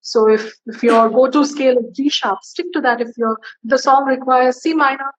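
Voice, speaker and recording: A woman's voice: fairly even intonation, moderate pace, highs cut off, a little hiss or hum. A woman singer giving casual tips in an interview, chatty and friendly, with many fillers. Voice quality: low, bass-leaning voice for a woman.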